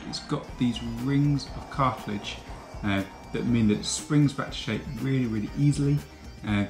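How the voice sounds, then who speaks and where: A young man explaining biology in a patient, clear teaching manner, recorded in a home kitchen on a camera across the table. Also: medium pitch, roomy sound. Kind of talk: educational explainer.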